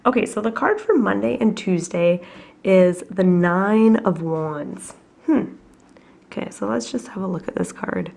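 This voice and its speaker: A woman with a cold giving a chatty, reflective weekly tarot reading at home, her voice husky and her talk full of fillers. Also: mid-range voice, unhurried, darkish tone. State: has a cold, coughing. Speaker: tarot reader.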